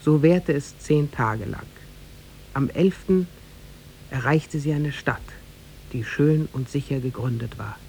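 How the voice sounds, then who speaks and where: A man narrating a tale in a formal, measured 1950s radio-drama style with literary diction. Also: fairly high pitch, darkish tone, a little hiss or hum, low-quality recording.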